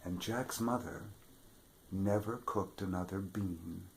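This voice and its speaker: A man reading a children's fairy tale aloud with expressive delivery, dropping to whispers for suspense. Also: sits low, slow pace, creaky, a little hiss or hum, rough recording. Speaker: storybook reader, theatre regular. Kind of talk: children's story read-aloud.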